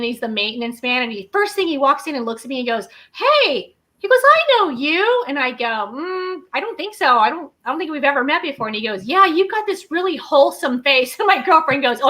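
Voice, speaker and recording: A woman co-hosting a casual chat, telling lively stories and acting out what people said to her. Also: sits high, a little fast. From Southern United States. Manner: animated storyteller, acts out quotes, laughs often. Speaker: co-host.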